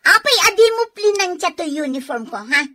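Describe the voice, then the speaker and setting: A woman's voice: extremely high pitch, big pitch swings, a little fast, sharp tone, heavily acted. A woman with an Ilocano Filipino accent voicing a bossy, spiteful young girl in a radio drama. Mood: bullying, angry.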